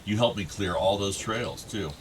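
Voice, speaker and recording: A man's voice: lowish voice, moderate pace, slight background noise, natural voice. A male actor playing a fond, outdoorsy middle-aged father of two grown sons in a naturalistic radio play. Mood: warm, reminiscing. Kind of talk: naturalistic radio drama dialogue.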